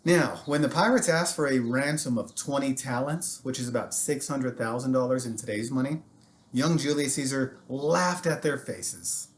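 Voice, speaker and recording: An American man, a teacher, tells a history story to students in an animated, casual way with jokey asides. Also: medium pitch, normal speed.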